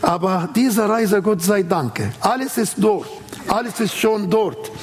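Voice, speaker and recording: A man's voice: high voice, a little slow, long reverb tail. A man with an Arabic accent preaching an earnest sermon in a church setting, quoting scripture with emphasis.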